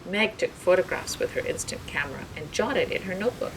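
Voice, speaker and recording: A woman's voice: lowish voice, lively intonation, fairly quick, background noise. A woman reading a scripted children's story aloud as narrator. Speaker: storybook reader.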